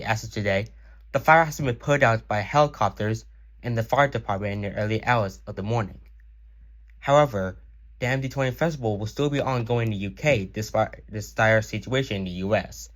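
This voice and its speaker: A man speaking as an emergency broadcast announcer, reading a scripted news announcement in a formal, solemn manner. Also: fairly low pitch.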